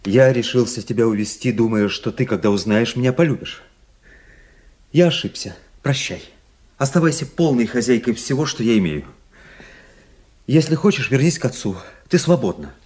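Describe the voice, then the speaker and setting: An actor playing a proud, passionate young officer in a radio play, speaking in a dramatic, declarative manner. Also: male voice, medium pitch, expressive, normal speed, a little mellow, natural voice. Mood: solemn pleading. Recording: radio play with faint noise.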